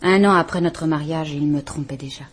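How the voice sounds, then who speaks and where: A woman's voice: low voice, fairly quick, slight background noise, natural voice. An actress playing a frightened, dignified woman of about thirty in a vintage radio drama, composed, formal and restrained. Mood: restrained, bitter. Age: 30s. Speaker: woman reporting her husband.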